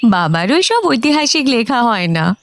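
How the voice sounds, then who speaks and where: চড়া গলার চপল, প্রেমিকা তরুণী. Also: female voice, fairly high pitch, big pitch swings, a little slow. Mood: flirtatious, coaxing. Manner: coquettish, teasing. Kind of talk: radio drama acting.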